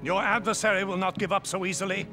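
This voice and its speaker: An actor playing a wise old man, a grave mentor in a video game, speaking formally and with measured weight. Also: high-pitched, fairly even intonation, sharp tone. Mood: solemn, urgent. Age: older. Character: old male expedition survivor, wise, grave village mentor. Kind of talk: dramatic video game cutscene acting. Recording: clear music under the dialogue.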